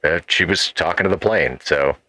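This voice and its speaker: A man co-hosting a casual panel chat, talking in a halting, hesitant way. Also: low voice, bright, forceful tone, dry recording. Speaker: podcast co-host.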